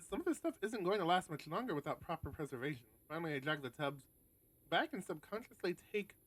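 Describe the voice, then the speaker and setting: A man's voice: on the high side, poor audio. A man streaming a visual novel, reading the narration aloud with casual asides and the odd stumble and correction. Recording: prominent guitar music behind voice. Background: loud plucked guitar game music.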